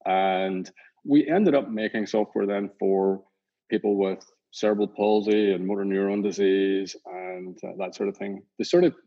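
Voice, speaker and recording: Middle-aged Northern Irish man, founder being interviewed, lowish voice, rambling and easygoing, dull lo-fi sound. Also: moderate pace. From Northern Ireland. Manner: relaxed, rambling, many fillers and restarts. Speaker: company founder and CEO, guest. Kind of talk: casual interview talk. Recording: dull lo-fi audio.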